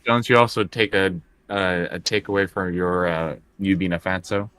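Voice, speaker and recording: A man's voice: sits low, normal speed, fairly bright tone, smooth, dry recording. A man chatting casually as a player in a tabletop role-playing game. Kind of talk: casual chat during tabletop game play.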